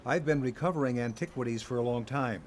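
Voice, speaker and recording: An actor playing a calm, principled adventurer in an audio drama, speaking in a measured, slightly formal way. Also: male voice, medium pitch, a little hiss or hum, natural voice. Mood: grave. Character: calm, principled male adventurer seeking lost artifacts.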